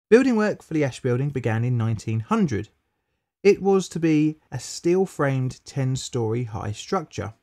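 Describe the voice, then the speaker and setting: A man reading a scripted history documentary as a voice-over, in a measured, matter-of-fact storytelling manner that stresses key words. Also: mid-range voice, darkish tone. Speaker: documentary narrator.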